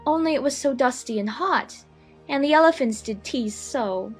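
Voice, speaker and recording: Woman playing a curious, high-voiced little girl. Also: animated, moderate pace, slightly rough, soft background music. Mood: wondering. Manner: wondering, talks to herself. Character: curious, polite, slightly timid young girl on an adventure. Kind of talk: dramatized audiobook acting.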